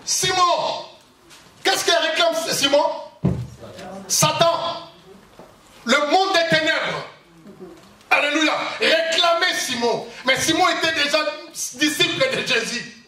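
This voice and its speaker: A male pastor preaching a sermon in a church hall, emphatic, with bursts of shouting and lots of repetition. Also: extremely high pitch, slow, pauses often. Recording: echoey hall, far from mic, faint noise.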